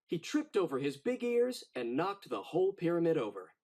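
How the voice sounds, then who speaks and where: A man narrating a children's storybook in an expressive, dramatic read-aloud style. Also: a little high, normal speed.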